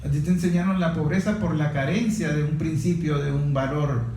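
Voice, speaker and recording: A man's voice: a little high, fairly even intonation, fairly quick, darkish tone. A man interviewing in a conversational political discussion, colloquial and probing, arguing through rhetorical questions. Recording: very echoey, reverberant room.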